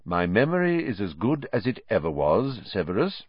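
A man playing a calm old wizard headmaster in an audiobook, quiet, measured and authoritative. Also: medium pitch, dry recording, muffled recording, voice pushed up.

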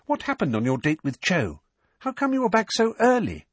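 A patient, brainy teenage girl, as a male reader plays her, lifted in pitch with lively swings. She explains everything carefully, a little exasperated, in a storybook voice with a breathy edge. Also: normal speed, dry recording, full character voice. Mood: bright.

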